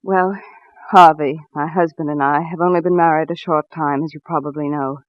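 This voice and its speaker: A woman's voice: sits low, steady tone, normal speed, lightly acted. An American woman acting an anxious, devoted young wife in a dramatic, pleading 1940s radio style. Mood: subdued. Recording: muffled vintage 1940s radio recording.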